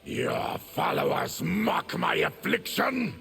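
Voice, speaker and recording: A monstrous game warrior with a heavily gravelled, low growl. He is proud and bitter, speaking in slow, formal, menacing phrases that swing dramatically in pitch. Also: big pitch swings, a bit bright, faint music behind, heavily acted. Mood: scornful. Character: afflicted monstrous warrior, proud, bitter former army tribune. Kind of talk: video game fight-intro dialogue.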